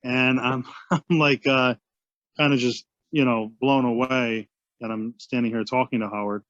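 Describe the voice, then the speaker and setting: A man telling a story in an animated, amused way. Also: mid-range voice, unhurried, no echo. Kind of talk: storytelling anecdote. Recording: dull muffled sound.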